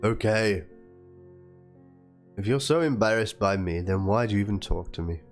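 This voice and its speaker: Male reader as aggrieved young woman in a dramatic document read. Low pitch, plaintive, theatrical delivery, guitar music underneath. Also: expressive, normal speed. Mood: hurt, pleading. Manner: theatrical, plaintive, spells out chat slang like "lol". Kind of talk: dramatic reading of a written document and chat logs.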